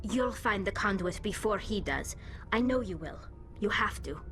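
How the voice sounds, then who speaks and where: A woman's voice: mid-range voice, expressive, moderate pace, fairly bright tone, faint music behind. An actress playing a young, grateful female alien crew member in a video game, earnest and sincere in manner. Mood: hopeful.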